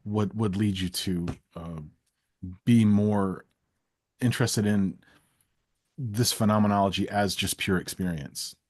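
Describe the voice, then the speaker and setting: A man interviewing someone in casual conversation, thinking aloud with analytical, measured phrasing. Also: fairly low pitch, fairly even intonation, darkish tone, no room sound, studio quality.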